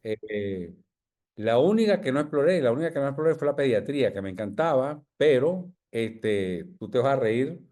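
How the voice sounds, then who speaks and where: A man in his sixties with a Venezuelan accent, a physician answering interview questions in a measured, humble way, with many pauses and tag questions. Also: mid-range voice, animated, warm tone. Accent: Venezuelan Spanish. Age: 60s. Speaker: physician guest.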